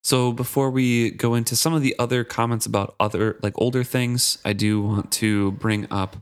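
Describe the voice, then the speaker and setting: Steady podcast co-host reading listener mail. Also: mid-range voice, moderate pace, clear, resonant tone. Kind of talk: casual book podcast chat.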